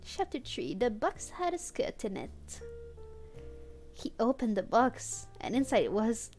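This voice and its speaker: A woman's voice: a little high, sing-song, measured pace, a little mellow, faint music behind. A woman livestreaming a read-aloud of fan fiction on a headset, playful and mock-dramatic, with amused asides. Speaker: streamer and host.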